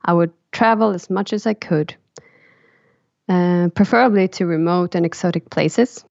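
A woman's voice: fairly low pitch, normal speed, vocal fry, a little mellow, no echo. Reflective Swedish woman, dull dry sound. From Sweden. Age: 30s. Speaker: podcast co-host. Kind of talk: personal storytelling in a podcast chat.

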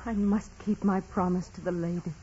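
An actress playing a devout, gentle peasant girl, polite and steadfast, with a soft husky voice in a 1940s radio drama. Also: lowish voice, steady tone, normal speed, a little hiss or hum, natural voice. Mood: quietly steadfast. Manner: polite, earnest, simple. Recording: dull vintage radio broadcast.

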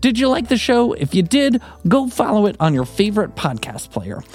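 A man delivers scripted end credits for a podcast in a friendly announcer style. His voice sits fairly high and moves expressively, over a faint music bed. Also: big pitch swings, moderate pace, a little mellow. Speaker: credits announcer and sound designer.

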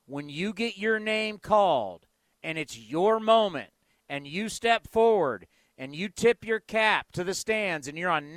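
A man's voice: high voice, a bit bright, no echo. A man hosting a sports radio show, delivering an impassioned, emphatic, conversational monologue.

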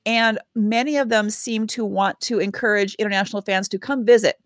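A woman co-hosts a podcast and tells stories in a relaxed, chatty way, tossing in wry asides. She speaks at a middle pitch, and the sound is dry and close to the mic. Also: fairly quick, dry recording. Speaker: podcast co-host. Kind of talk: casual podcast chat.

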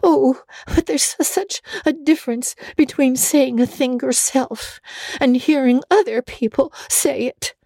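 A woman's voice: high voice, measured pace, vocal fry, warm tone, dry recording, pitched above the performer's own voice, heavily acted. A woman voicing a tearful, defiant young girl in a dramatic storybook reading, pleading and wailing with wounded pride.

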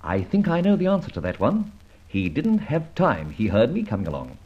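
An actor playing a cocky, quick-witted gentleman adventurer in a radio drama, with a breezy, wry, theatrical delivery. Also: medium pitch, wildly swooping pitch, breathy edge. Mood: brisk, teasing. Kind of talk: old-time radio drama acting and narration. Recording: muffled old radio recording, music behind.

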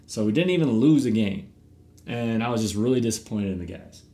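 A man's voice: fairly low pitch, animated, a little fast, dark tone. A middle-aged man, host of a podcast and youth baseball coach, talking casually and animatedly, often repeating words as he starts a thought.

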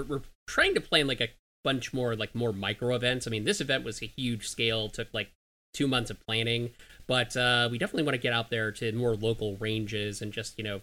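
A man answering interview questions in an upbeat, relaxed way. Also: on the low side. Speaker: guest, gun group member.

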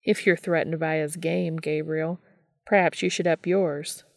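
A charming young man, calm and sure of himself, as a woman reading a story puts him on. The tone is low and a little gravelly, recorded close and dry. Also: a little slow, no echo. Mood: easygoing. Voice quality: easygoing and self-assured.

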